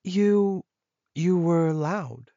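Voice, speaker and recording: A man's voice: high voice, extremely slow, dark tone, dry recording, muffled recording, voice pushed up. A man voicing a curious, anxious young woman in an audiobook romance. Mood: flustered.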